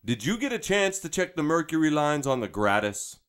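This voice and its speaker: A man's voice: fairly high pitch, big pitch swings, fairly quick, a bit bright, clear, resonant tone. A man acting as an easygoing, practical, self-deprecating spaceship crew leader in an audio drama. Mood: relaxed, explanatory.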